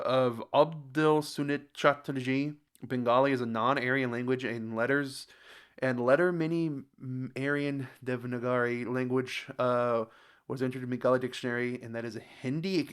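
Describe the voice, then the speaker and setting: A man with an American accent hosting a reaction video in casual commentary, a bit halting and stumbling over words as he reads aloud. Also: medium pitch, fairly bright tone, clean tone. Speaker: host of a reaction channel.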